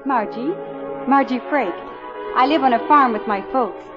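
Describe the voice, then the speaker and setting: Woman acting a bashful, high-voiced young farm girl. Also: moderate pace, lo-fi sound, close to an everyday voice. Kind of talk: 1950s radio musical acting. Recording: band-limited 1950s radio broadcast, music, crowd.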